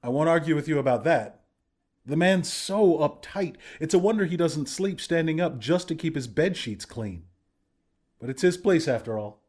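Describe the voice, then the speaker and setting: An idealistic young rebel leader from a video game, acted by a man. His voice sits a little higher than most men's. He talks like a sincere, approachable comrade, expressive and conversational, with an earnest streak of self-doubt. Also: moderate pace, lightly acted. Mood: incredulous, griping. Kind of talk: video game character dialogue.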